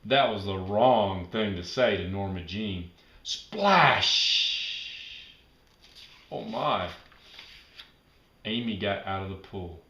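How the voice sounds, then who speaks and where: Slow, expressive man reading a children's story. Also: lowish voice, echoey room. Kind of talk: children's read-aloud narration.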